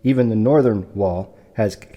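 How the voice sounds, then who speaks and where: An actor playing a meek, courteous, big property broker who stammers on his consonants and speaks in a formal, halting way in an audio drama. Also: on the low side, dark tone, highs cut off, faint music behind, lightly acted. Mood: polite, hesitant. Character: large muscular male property broker, meek, anxious, courteous.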